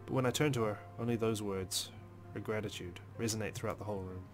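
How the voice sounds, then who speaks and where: A man with a fairly low voice narrates a visual novel in the first person. His reading is expressive and deliberate, with dramatic phrasing. Faint music plays behind him. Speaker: let's play host reading game narration.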